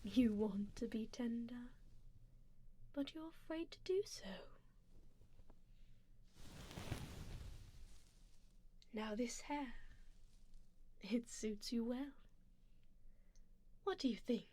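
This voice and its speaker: Vampire noblewoman , played by a woman, ASMR roleplay: higher-pitched, breathy and whispering, very slow, formal and coaxing, doting with quiet menace. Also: animated. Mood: tender, musing. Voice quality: soft, breathy, often dropping into whisper. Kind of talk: ASMR roleplay, soft personal-attention scene.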